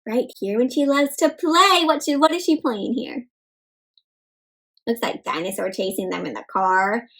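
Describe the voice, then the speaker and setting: A woman leading an interactive children's read-aloud as a teacher, asking young listeners questions and pausing for their answers. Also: on the high side, vocal fry, a bit bright. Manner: asks listeners questions, pauses, addresses children as friends.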